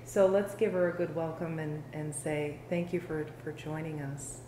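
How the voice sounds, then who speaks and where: A woman hosting a show, introducing and interviewing a guest with gentle, unhurried questions. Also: sits low, fairly even intonation. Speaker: host. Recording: roomy sound with faint background noise.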